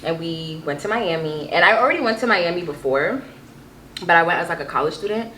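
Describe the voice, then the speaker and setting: A woman in her twenties chatting casually and telling stories in a playful, animated, slangy way. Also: on the low side, brisk. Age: 20s. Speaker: vlog guest telling stories with sibling. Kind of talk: casual chat, storytelling. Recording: echoey room with background noise.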